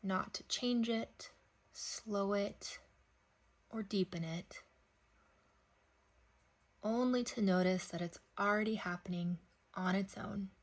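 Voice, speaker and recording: A woman leading a guided sleep meditation, speaking gently in unhurried phrases with long pauses. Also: medium pitch, fairly even intonation.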